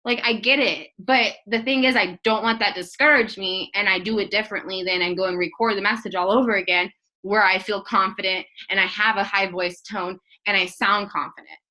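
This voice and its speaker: A woman's voice: mid-range voice, steady tone, a little fast, sharp tone, poor audio. A woman in her thirties giving a casual team training talk, chatty and earnest, full of "like" and restarts, encouraging her listeners. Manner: chatty, filler-heavy, rambling.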